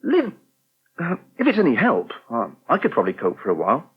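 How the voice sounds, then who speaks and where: A helpful, touchy young English restaurant worker in a vintage British radio soap. His voice is rough-edged and very lively in pitch, with brisk, plain delivery. The sound is narrow and thin, like an old broadcast tape. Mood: earnest, helpful. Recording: thin, band-limited old radio recording.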